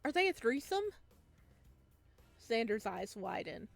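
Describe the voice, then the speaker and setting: A woman's voice: on the high side, sing-song, measured pace, creaky, soft background music. A woman reading a visual novel aloud as a let's play host, with casual, amused asides.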